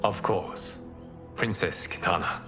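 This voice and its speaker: Low-voiced, solemn fire-god mentor. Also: male voice, bright, forceful tone, soft background music, heavily acted. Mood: courteous. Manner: formal, measured. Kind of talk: cinematic fantasy game acting.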